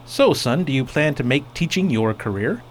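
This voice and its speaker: An actor playing a genial, slightly patronising school superintendent in a radio play. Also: male voice, mid-range voice, expressive, normal speed, lightly acted. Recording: faint background noise.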